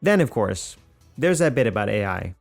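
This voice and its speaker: A man narrating a scripted video essay of film criticism in a measured, conversational, opinionated way. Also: fairly low pitch, sing-song, creaky. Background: background music.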